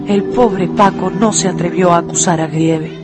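Expressive woman narrator over music. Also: lowish voice, moderate pace. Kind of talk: dramatised storytelling narration for radio.

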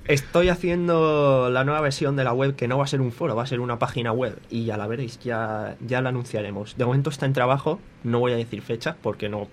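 Relaxed joking man hosting community radio. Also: medium pitch, fast, hardly any pauses, slight background noise. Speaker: radio show host. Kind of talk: casual radio chat.

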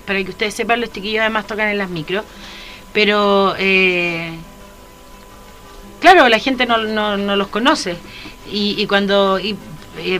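A woman's voice: mid-range voice, pushed and bright. Chatty Chilean woman radio host. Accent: Chilean Spanish. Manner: hesitant, many fillers and restarts. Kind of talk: casual interview chat.

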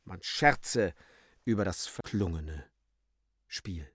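A man narrating a literary radio drama in a theatrical way, moving between declamation and hushed, measured reading. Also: low voice, lively intonation, very noisy.

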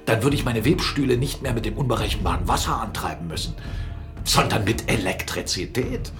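A forceful captain and mill owner in a radio drama. His low voice swings widely, from confiding murmurs to eager exclamations, with a mocking edge. Music plays clearly underneath. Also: animated, brisk. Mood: covetous, eager. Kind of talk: German radio play dialogue.

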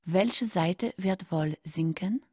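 Macabre cookery hostess for radio drama, unhurried, breathy, courteous and intimate. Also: female voice, on the low side, darkish tone, dry recording, studio quality. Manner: slow, deliberate, breathy, often near whispering. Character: courteous, macabre female cookery instructor addressing her audience. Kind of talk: intimate scripted radio monologue.

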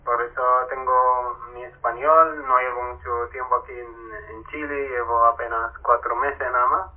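A man's voice: mid-range voice, steady tone, moderate pace. Man, tinny phone sound. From Palestine. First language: Arabic. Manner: hesitant, many restarts and fillers. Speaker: guest, Arabic teacher. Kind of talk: radio interview.

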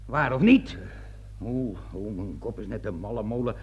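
An actor in a radio play voicing a stubborn, muddled army sergeant, blunt and colloquial, with a raspy voice. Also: male voice, fairly low pitch, expressive, moderate pace, close to an everyday voice. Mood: confused. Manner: blunt, colloquial, repeating himself in confusion. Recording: dull old radio recording.

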